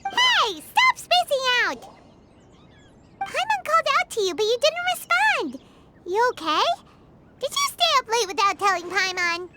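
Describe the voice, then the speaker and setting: A woman's voice: very high-pitched, big pitch swings, a little slow, long pauses, sharp tone, a little hiss or hum, full character voice. A woman voicing a small, chirpy, bubbly childlike companion in a video game. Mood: scolding, concerned. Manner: chirpy, refers to self in third person. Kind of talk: video game character acting.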